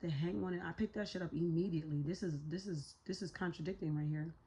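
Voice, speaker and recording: A low, husky-toned woman whose voice is hoarse and raspier than usual. She gives a loose, slang-heavy tarot reading, swearing freely and thinking out loud. Her tone is dark and mellow under the rasp. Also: fairly even intonation, fast talker. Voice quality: raspy. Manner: talks to spirit while pulling cards. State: hoarse, voice a little shot. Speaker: tarot reader. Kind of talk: casual tarot reading to viewers.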